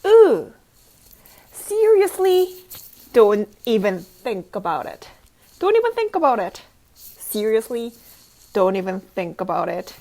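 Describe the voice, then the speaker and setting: A woman voicing an excitable, mischievous monster from a children's picture book, exclaiming and emphatic. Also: mid-range voice, slow pace, pauses often, heavily acted. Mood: stern, emphatic. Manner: exclaiming, emphatic, repeats warnings.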